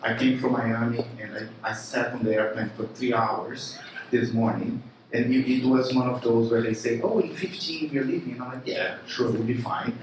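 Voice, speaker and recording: A man with a Brazilian Portuguese accent gives a keynote at a live event, casual and animated, stumbling over fillers and restarting sentences. His mid-pitched voice is picked up from a distance and rings around a very echoey room, with faint noise underneath. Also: moderate pace. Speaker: conference speaker, marketing chief. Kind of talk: keynote presentation.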